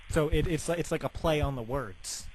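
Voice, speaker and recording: A young man making a deadpan prank phone call in a rambling, hesitant, casual way full of filler words. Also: a little high, brisk. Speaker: prank caller. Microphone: telephone. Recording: phone call with faint background noise.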